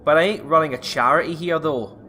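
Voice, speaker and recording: A man's voice: fairly high pitch, a little fast, sharp tone, soft background music. A man voicing a brusque, money-minded dwarf doctor with a Scottish accent in a comedic fantasy audio drama. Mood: stern, demanding. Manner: brusque, blunt, crude.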